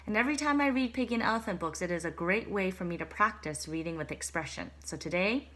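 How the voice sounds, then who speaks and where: A young woman teacher speaking to first graders about a read-aloud, gentle and encouraging, pausing to think. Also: fairly low pitch, animated. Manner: gentle, encouraging, pauses with hmm.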